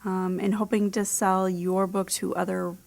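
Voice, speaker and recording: A woman's voice: mid-range voice, level tone, measured pace, vocal fry, a little mellow. A woman speaking casually as an author being interviewed, often chuckling as she talks. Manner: often chuckles while talking.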